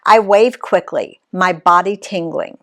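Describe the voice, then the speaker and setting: A woman reading her own travel story aloud in an expressive read-aloud style, giving the quoted people voices of their own. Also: fairly low pitch. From Alabama, USA. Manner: unhurried, lively reading that voices the quoted characters.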